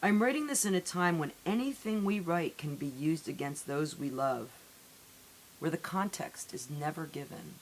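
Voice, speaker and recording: A woman reading poetry aloud in a solemn, deliberate way with measured phrasing and dramatic pauses, on an amateur recording. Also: low-pitched, poor audio. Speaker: poetry reader. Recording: amateur recording with audible hiss.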